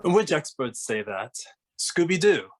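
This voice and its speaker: Man playing a very slow-spoken, skeptical young detective. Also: a little high, very animated. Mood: dry, skeptical. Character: Ashton, level-headed skeptical young male detective. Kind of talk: animated comedy table read.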